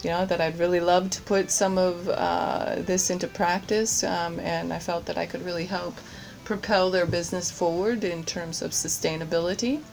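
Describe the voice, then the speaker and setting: A woman's voice: fairly low pitch, steady tone, moderate pace, gravelly, darkish tone, a little hiss or hum, poor audio. A woman answering interview questions about her work, hesitant, with many fillers and restarts. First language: English. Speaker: course participant, general manager.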